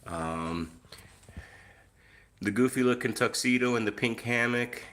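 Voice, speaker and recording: A man's voice: medium pitch, a little slow, fairly bright tone. A man hosting a casual live stream on his phone, chatty and easygoing, with a lingering cough that leaves his voice a little off. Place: outdoors, then indoors at home.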